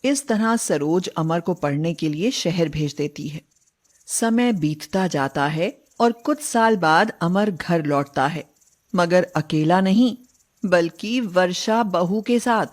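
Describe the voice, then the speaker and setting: Female narrator, unhurried delivery, dramatic scripted storytelling, moral tale. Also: lowish voice, animated.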